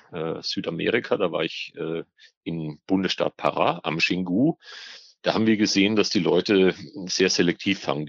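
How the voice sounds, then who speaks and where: A man's voice: low voice, creaky, no room sound, dull audio. A man speaking as an expert guest in an interview, explaining hesitantly and often repeating words as he searches for the next. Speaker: expert guest, biologist on ornamental fish trade. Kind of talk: spoken interview answers, explanatory.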